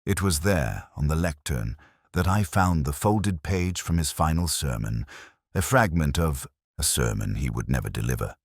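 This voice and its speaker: A man playing a weary, melancholy detective who narrates his case in a measured, solemn, reflective way, in the manner of a scripted radio drama. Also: extremely low pitch, animated, gravelly, dry recording, clean studio sound, full character voice. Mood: brooding, melancholy.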